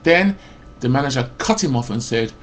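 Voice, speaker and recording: A man in his forties, a mental health support worker, ranting about his job in a loud, rambling way full of fillers and restarts. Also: medium pitch, lively intonation, moderate pace, a little hiss or hum. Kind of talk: rambling personal rant.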